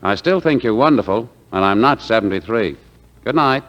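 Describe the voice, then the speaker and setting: A man's voice: on the low side, lively intonation, normal speed, a bit bright. A man acting a flirtatious, stubborn rich patient in a theatrical 1940s radio style, playful and wheedling. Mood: earnest, smitten. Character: flirtatious, stubborn wealthy male patient, eager and charming. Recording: muffled 1940s radio broadcast.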